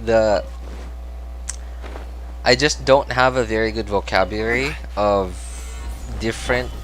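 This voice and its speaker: A man's voice: on the low side, fairly even intonation, measured pace, a bit bright, faint music behind. A young man from the Philippines, chatting casually and apologetically with viewers as a game livestreamer, rambling and self-deprecating.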